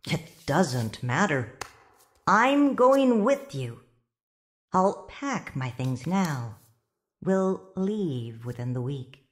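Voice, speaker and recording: A woman playing a firm, loving middle-aged mother who speaks calmly and will not be moved. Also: sits low, very slow, full character voice. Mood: resolute. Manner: calm and unbending.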